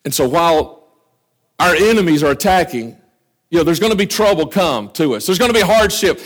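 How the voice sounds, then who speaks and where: A man preaching a sermon with fervor, often dropping to quiet asides. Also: a little high, animated, fairly quick. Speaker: pastor. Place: church.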